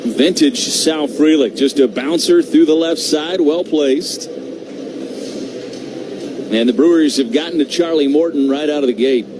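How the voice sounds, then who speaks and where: A man's voice: on the high side, measured pace, noisy background. A man calling baseball play-by-play live on the radio. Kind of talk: live radio sports commentary.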